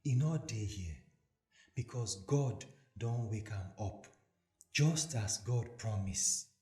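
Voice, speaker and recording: Man voicing low, solemn Nigerian angel, echoey. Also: expressive, slow pace, mellow. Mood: calm, reassuring. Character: calm, reassuring angel of God. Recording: echoey voice recording with music.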